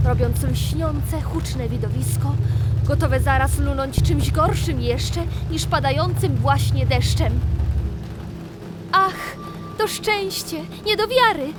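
Female storyteller for rhyming children's tale; very slow, higher-pitched delivery, prominent music underneath. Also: heavy background noise. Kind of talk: rhymed children's fairy-tale narration. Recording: music behind the voice. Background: loud music.

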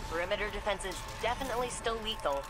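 A woman's voice: medium pitch, rapid-fire, over loud music, close to an everyday voice, rough recording. A woman playing a determined, wry and guarded scientist-adventurer in a video game, speaking conversationally. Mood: tense, wry.

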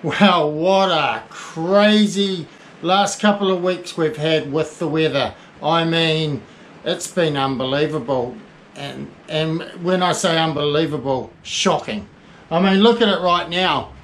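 New shop owner, forties, fairly high voice, chatty and rambling with fillers, small shop with a faint hum behind. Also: male voice, slow pace, fairly bright tone. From New Zealand. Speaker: fishing shop owner giving a fishing report. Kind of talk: casual spoken fishing report. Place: small indoor tackle shop by a window.

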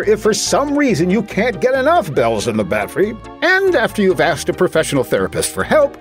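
A man reading a tongue-in-cheek scripted promo as an announcer. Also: sits high. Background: music.

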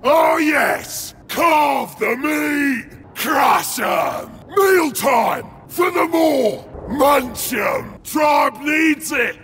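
An actor voicing a huge, brutish, ravenous male ogre warrior in a video game, barking short blunt war cries in a gruff, gravelly voice. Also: very high voice, expressive, extremely slow, hardly any pauses, fairly bright tone, raised voice, slight background noise, full character voice. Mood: bloodthirsty, aggressive. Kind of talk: video game unit battle barks.

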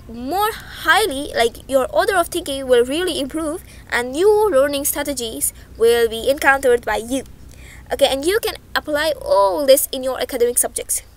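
A young woman teaching over slides in a chatty way. She often checks in with "okay?" and corrects herself as she goes. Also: sits high. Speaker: online language teacher. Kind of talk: casual explanatory lesson over slides.

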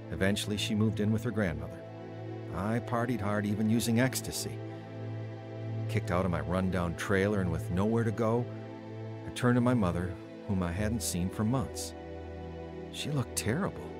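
A man's voice: on the low side, natural voice. An actor playing a troubled, wavering young man telling his life story in a radio drama. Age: young adult. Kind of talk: radio drama narration and dialogue.

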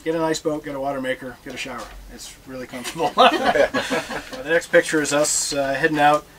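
A middle-aged man giving a relaxed, joking talk about sailing to an audience, standing aboard a catamaran in a marina with notes in hand. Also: on the high side, brisk, background noise. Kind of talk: casual presentation to an audience. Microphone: far camera.

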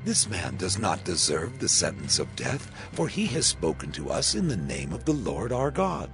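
A very deep male official voiced by an actor speaks with firm authority. The intonation swings dramatically, and music plays clearly behind this scripture drama. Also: wildly swooping pitch, moderate pace, full character voice.

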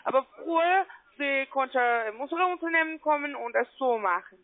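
A woman with a foreign accent, not a native speaker, making an insistent, repetitive phone sales pitch as an account adviser in broken grammar. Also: expressive, moderate pace. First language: not German. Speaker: call-centre account adviser. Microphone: telephone. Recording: tinny band-limited phone line.

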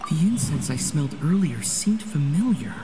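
A woman's voice: low voice, big pitch swings, normal speed, music in the background, low-quality recording. A woman reading game dialogue aloud as she plays.

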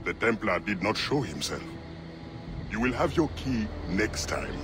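Low-voiced, proud assassin leader. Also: male voice, big pitch swings. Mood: dry, knowing. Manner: measured, formal, sardonic. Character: proud, stern freedom-fighter assassin leader, dry-humoured. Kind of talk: dramatic video game acting. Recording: loud music behind.

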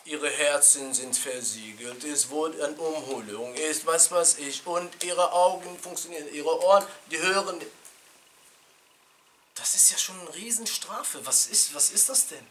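A middle-aged man teaching an informal religious lesson in a small room, animated and chatty, laughing with his students and restarting his sentences. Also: fairly high pitch, measured pace, slight background noise. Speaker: sheikh teaching a Quran class. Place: small indoor classroom.

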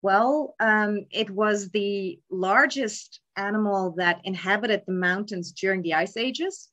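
A young woman explains her slides in a calm, measured lecture, with frequent ums. She speaks over a webcam from an indoor room, at a middling pitch. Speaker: palaeontology lecturer. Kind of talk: slide lecture on cave bears. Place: indoor room, remote talk. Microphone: webcam in a video call.

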